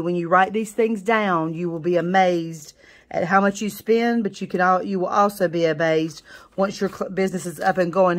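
A woman, a nail technician, casually reviewing a salon business book in a chatty way, with many fillers and restarts. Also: on the low side.

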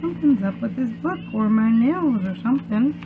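High-voiced young woman, noisy phone-like recording. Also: expressive, brisk, music in the background. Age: young adult. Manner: sing-song, asking children questions. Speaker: preschool teacher. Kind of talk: children's read-aloud lesson. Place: small room at home. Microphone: webcam or laptop at arm's length. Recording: muffled phone-like webcam sound, loud noise.

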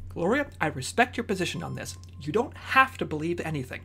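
A woman playing an earnest, excitable secret agent leading a team in a comedy-adventure podcast, with a raspy voice. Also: fairly low pitch, a little fast, soft background music, full character voice.

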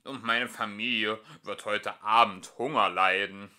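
A man's voice: lowish voice, fairly even intonation, sharp tone. A man voicing a poor, worried old villager in a storybook, pleading plaintively. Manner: slow, plaintive.

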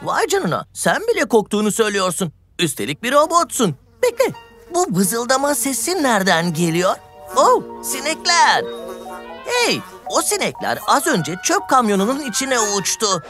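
A lively, swooping young cartoon explorer, cheerful and curious. The voice is fairly high and full of wonder, with music clearly heard underneath. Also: female voice, normal speed. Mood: excited. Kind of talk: children's cartoon dub.

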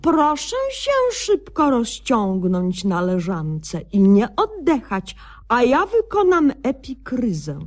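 A woman's voice: on the high side, big pitch swings, takes it slowly, exaggerated voice. A woman playing a pompous, naive young doctor in a satirical radio sketch, speaking with bureaucratic, mock-learned solemnity. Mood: officious, earnest. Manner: bureaucratic, mock-learned, malapropism-laden delivery. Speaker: comic narrator and doctor.

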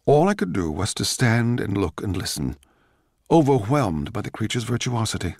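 A man playing a bitter, envious male court composer, narrating in a measured, theatrical, sardonic way for radio drama. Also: lowish voice, sing-song, creaky, a little mellow, no echo, studio quality, full character voice. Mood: bitter, awed.

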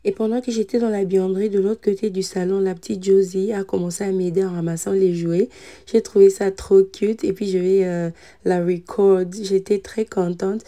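Woman in her thirties, cleaning vlog voice-over, very dark mellow tone, flat even delivery, hesitant fillers. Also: mid-range voice, normal speed, soft background music. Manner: calm, relaxed, frequent "euh" hesitations. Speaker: cleaning vlogger, mother. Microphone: voice-over recorded separately.

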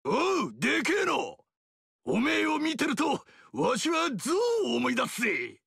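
A man voicing a boisterous, proud barbarian king with a gravelly, blustering, shouting delivery in video game dialogue. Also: very high-pitched, wildly swooping pitch, unhurried, no room sound, studio quality, heavily acted. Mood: boastful. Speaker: warlord character.

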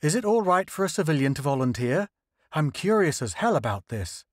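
A man's voice: a little high, big pitch swings, fairly quick, no room sound, studio quality. A man voicing a nervous, eager male doctor in a story reading.

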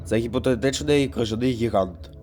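A man playing a calm storyteller in a cartoon, explaining planetary history in a measured, scripted way. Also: mid-range voice, a little hiss or hum, pitched below the performer's own voice, natural voice. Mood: explanatory. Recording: music behind the voice.

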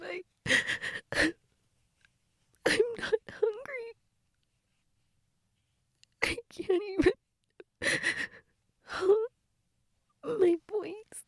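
A woman acting a grieving girlfriend in an intimate audio role-play, crying and fragile, halting and repeating herself, often dropping to a whisper. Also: very high-pitched, fairly bright tone, no echo, clean studio sound, heavily acted. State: crying, sniffly, breathy from tears. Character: grieving girlfriend, tearful and fragile, insisting she's fine.

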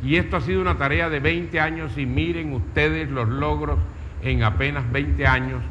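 A man's voice: a little high, fairly even intonation, moderate pace, fairly bright tone. Emphatic Caribbean Colombian man in a hall. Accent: Colombian Caribbean coast. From Caribbean coast of Colombia. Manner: rhetorical, emphatic, with lists and questions. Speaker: speaker, writer. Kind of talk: storytelling public talk. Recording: dull, reverberant hall with faint noise.

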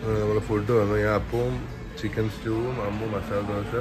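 A man's voice: lowish voice, little pitch movement, moderate pace, over loud music. A man in his thirties with a Malayali accent, chatting casually as he walks a city street for a travel vlog. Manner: chatty, thinking aloud while walking. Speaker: travel vlogger. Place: outdoors on a busy city street. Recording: noisy street ambience.

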